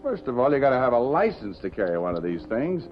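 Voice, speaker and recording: Male actor as fearless wry newspaperman, American accent, animated intonation, 1950s TV drama, muffled soundtrack. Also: medium pitch, quick pace, close to an everyday voice. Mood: wry, exasperated. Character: wry, unafraid night-beat city newspaper reporter. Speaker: actor in lead role. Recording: dull 1950s TV soundtrack, music behind.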